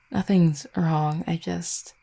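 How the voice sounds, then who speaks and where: A young vampire girlfriend, played by a woman, speaks softly and hesitantly in an intimate audio roleplay. Her low voice is full of gravelly fry, dark and mellow, with little melodic movement. She sounds tender and a little anxious. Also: heavily acted. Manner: hesitant, halting, soft-spoken.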